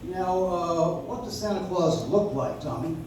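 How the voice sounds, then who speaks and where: An earnest defense lawyer played by a man speaks courteously and gently in a slightly high voice. It is old-time radio play acting in a very echoey room, far from the microphone. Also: animated, unhurried, noisy background. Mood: earnest, polite. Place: coffee shop stage, recorded from the audience. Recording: echoey live stage, recorded from far off.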